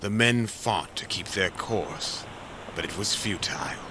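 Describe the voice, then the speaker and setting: A man's voice: lowish voice, unhurried, background noise. A man narrating an epic story in a solemn, portentous, dramatic scripted style.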